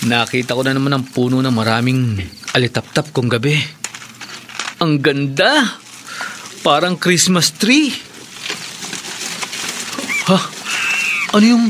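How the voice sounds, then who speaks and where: A man acting a curious, exclamatory young younger brother in a horror radio drama. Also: on the high side. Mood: wonder, then frightened. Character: wide-eyed, curious boy or teenage younger brother. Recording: clear background noise and ambience.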